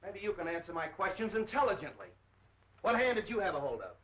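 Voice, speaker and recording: An actor playing a brusque, impatient, sarcastic police detective in a 1930s film. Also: male voice, high voice, big pitch swings, quick pace, fairly bright tone. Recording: dull 1930s film soundtrack.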